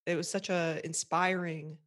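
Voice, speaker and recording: A woman with a low-pitched voice talks as a guest on a podcast. She speaks earnestly and reflectively, like someone sharing her own story in a relaxed interview. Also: normal speed. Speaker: podcast guest, marketing coach for therapists. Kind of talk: conversational interview.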